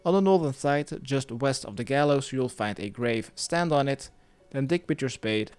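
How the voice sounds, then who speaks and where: A man's voice: mid-range voice, lively intonation, normal speed, clear, resonant tone, no echo. A man narrating a step-by-step video game guide, giving plainly worded instructions as a tutorial voice-over. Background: music.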